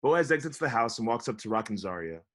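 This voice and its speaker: A man's voice: medium pitch, big pitch swings, quick pace, fairly bright tone. Man reading screenplay stage directions. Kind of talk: scripted narration.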